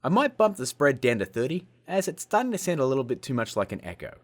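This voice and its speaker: A man hosting a casual software tutorial in a cheeky, self-mocking way, with jokey asides. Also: mid-range voice, big pitch swings, fast talker. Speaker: host of a video-editing tutorial show. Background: music.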